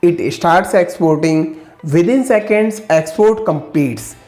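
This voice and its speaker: A woman narrating a scripted software tutorial, giving steady step-by-step instructions with enthusiastic asides. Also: lowish voice, slow, echoey room, faint music behind.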